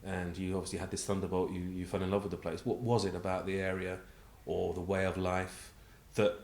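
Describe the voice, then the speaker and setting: Male interviewer, fairly low pitch, echoing room sound, measured informal prompting. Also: level tone, darkish tone.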